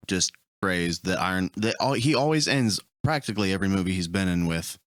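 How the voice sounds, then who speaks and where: A man with a low, deep voice joins a casual podcast discussion about films. He speaks conversationally as one of the panel, and the recording is dry and close. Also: animated, a little fast, fairly bright tone, no room sound.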